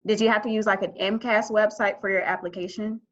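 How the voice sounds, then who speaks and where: A woman medical student on a video-call panel, explaining her own path in a relaxed way with many fillers. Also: mid-range voice, fairly quick. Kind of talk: casual account on a video call. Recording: dull lo-fi video call audio.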